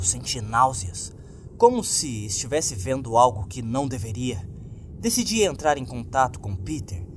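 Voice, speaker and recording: A man's voice: mid-range voice, background noise. A man with a Brazilian accent reading a scripted horror story as a dramatic narrator. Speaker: creepypasta narrator. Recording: clear music bed behind voice. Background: music.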